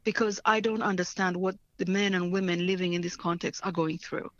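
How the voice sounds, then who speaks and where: A woman's voice: fairly low pitch, level tone, a little fast, no echo. An earnest woman from Africa talks through leadership in an interview, restarting her phrases and often checking in with the listener. Her voice comes through a slightly muffled line. The sound is close and dry. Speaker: guest, nonprofit leader. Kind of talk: reflective interview answers.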